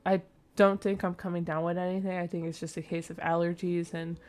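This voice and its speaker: A woman's voice: fairly low pitch, little pitch movement, a little fast, a little mellow. A young woman narrating her own building video in a casual, rambling way, with a slightly nasal, sleepy voice. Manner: chatty, rambling, with frequent fillers and small laughs. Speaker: Sims builder and channel host. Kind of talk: casual voice-over commentary on a speed build.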